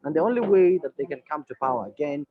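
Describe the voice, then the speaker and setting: A man from Somalia makes his point on a panel, earnest but halting. He fills his pauses with frequent hesitations. The call audio is dull and lo-fi. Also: on the high side, lively intonation. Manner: hesitant, many "uh" fillers, earnest. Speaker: journalist, webinar participant. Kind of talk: panel discussion remarks. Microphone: video call.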